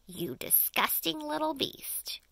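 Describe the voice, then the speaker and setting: A cruel, selfish aunt from a children's storybook, acted out by a woman reader. She scolds with open scorn in a sharp, bright voice. Her words come slowly and spitefully, in a dry, close recording. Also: high voice, animated, creaky, no room sound, whispered, pitched above the performer's own voice, full character voice, poor audio. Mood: contemptuous.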